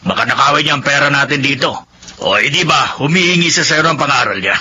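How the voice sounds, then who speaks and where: A man's voice: on the high side, lively intonation, fast, sharp tone. A man playing a suspicious, callous middle-aged husband and uncle in a Filipino radio drama. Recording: noisy radio drama recording.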